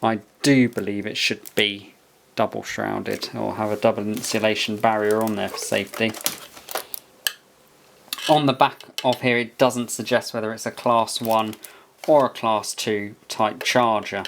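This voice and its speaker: A man's voice: fairly low pitch, flat delivery, measured pace, gravelly, a bit bright, a little hiss or hum. A man giving a casual product review, musing aloud as he inspects things piece by piece. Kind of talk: casual unboxing and review commentary.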